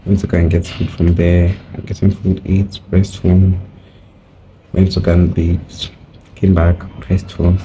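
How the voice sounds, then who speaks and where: A young man, talking softly and rambling in a casual vlog diary, filming himself outdoors on a phone held at arm's length. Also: little pitch movement, measured pace, lo-fi sound, slight background noise. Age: late teens. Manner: soft-spoken, low-key and rambling. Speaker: vlogger. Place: outdoors by a brick wall.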